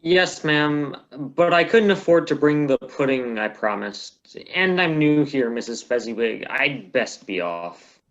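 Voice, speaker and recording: A man's voice: medium pitch, animated, unhurried, fairly bright tone, muffled recording. A man playing a timid, polite, poor young man with a deferential manner in an amateur radio play. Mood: sheepish.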